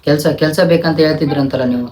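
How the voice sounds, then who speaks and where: A man's voice: on the high side, steady tone, racing, a crowd in the background. A young man making casual prank phone calls, speaking informally and insistently. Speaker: prank caller, vlog co-host. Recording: loud, clear background noise.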